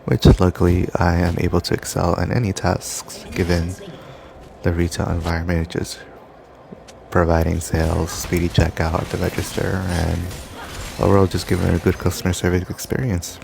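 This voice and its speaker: Gravelly low-voiced man rambling. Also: little pitch movement, normal speed. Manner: loose, meandering, filler-heavy storytelling. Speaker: gaming commentator. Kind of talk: rambling gameplay commentary. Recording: background noise.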